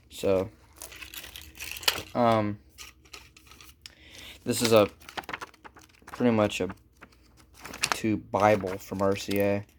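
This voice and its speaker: A man giving a casual, unscripted how-to as a hobbyist repairer, halting and informal as he thinks aloud. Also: lowish voice, a little hiss or hum. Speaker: hobbyist electronics repair presenter.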